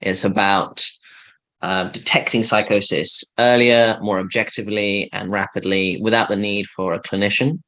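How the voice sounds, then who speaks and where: Earnest young man, low voice. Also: steady tone, moderate pace, sharp tone, poor audio. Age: young adult. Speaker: guest, medical student. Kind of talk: casual interview chat.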